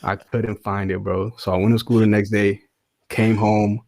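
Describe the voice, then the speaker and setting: A man's voice: on the low side, level tone, normal speed, creaky, darkish tone. A man in his twenties telling stories casually as a podcast guest, in very slangy talk. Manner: slangy, says bro and dude often. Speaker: podcast guest, rapper and music producer. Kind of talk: casual chat storytelling.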